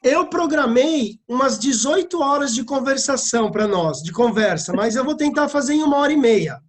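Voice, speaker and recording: A man's voice: extremely high pitch, animated, moderate pace. A man teaching an online course, chatting cheerfully and joking as students join the video call. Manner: cheerful, jokey, welcoming. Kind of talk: casual chat before an online class.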